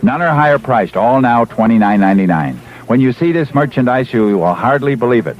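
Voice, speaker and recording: A man's voice: mid-range voice, lively intonation, a little fast. A man reading a seventies radio retail commercial as an announcer, insistent and repetitive. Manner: insistent, repetitive hard sell. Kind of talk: 1970s radio retail ad read. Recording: dull vintage radio broadcast.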